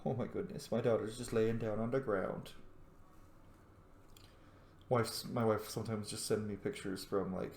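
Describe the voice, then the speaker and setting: A man giving casual, playful video game commentary, swearing now and then and rambling off into asides. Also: medium pitch. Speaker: let's play streamer. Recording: roomy echo, faint background noise.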